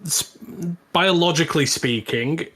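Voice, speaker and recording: A man's voice: on the high side, a little slow, bright, forceful tone. A man co-hosting a podcast, explaining things in casual, bantering conversation. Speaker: podcast co-host.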